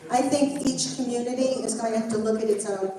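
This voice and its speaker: A woman's voice: a little high, brisk, a crowd in the background. An older woman rabbi leading a Torah study, earnest and encouraging as she teaches the group and answers questions. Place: synagogue hall. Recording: echoey room, mic far off, faint noise.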